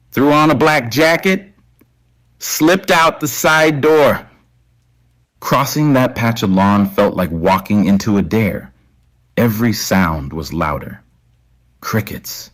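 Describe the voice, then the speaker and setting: A man with a very low voice narrates a dramatic audio story. He takes his time, delivering the scripted lines in short, clipped phrases and leaving deliberate pauses between them.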